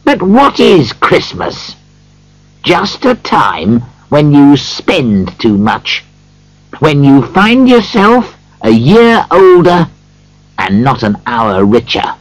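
Man playing crotchety old miser, storybook character, swooping indignant pitch, scornful, bitter, dry close sound. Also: dry recording, heavily acted, rough recording.

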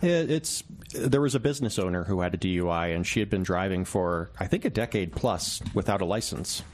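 Lowish guy on a radio interview, explains stuff step by step, careful and chatty. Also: lively intonation, a little mellow. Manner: measured, explanatory, hedging. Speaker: journalist guest.

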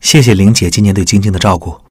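A man plays a tender, teasing young boyfriend in an audio drama. His voice is low and husky, close and dry, over soft music. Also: quick pace, no room sound.

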